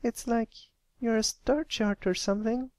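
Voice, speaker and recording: A performer voicing a chirpy, very high young filly. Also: steady tone, unhurried, darkish tone, no echo, natural voice. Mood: admiring. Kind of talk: acted fan fiction reading.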